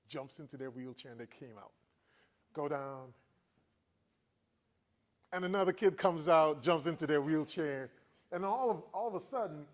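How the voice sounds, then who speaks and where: Lively male speaker from the Virgin Islands. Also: fairly high pitch. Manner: animated storytelling, engaging the audience with questions. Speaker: motivational speaker. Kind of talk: motivational talk to students.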